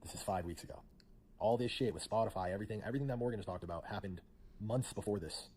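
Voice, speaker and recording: A man with a very low voice narrates in a rush, hopping between thoughts and padding his sentences with fillers. He walks through old messages from a friend. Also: a little mellow, slight background noise. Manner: fast, rambling, filler-heavy. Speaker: streamer recounting a friendship. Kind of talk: narrating over screenshots.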